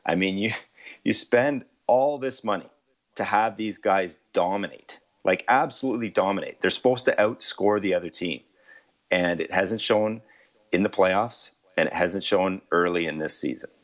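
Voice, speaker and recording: Man, deep voice, hockey writer guest, radio analysis, thinks out loud. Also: moderate pace. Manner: thoughtful, weighs options aloud.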